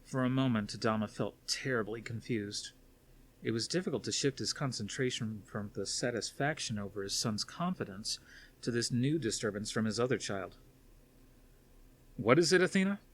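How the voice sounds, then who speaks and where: A man's voice: on the low side, normal speed, slight background noise. A man reading a novel aloud as scripted story narration. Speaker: audiobook narrator.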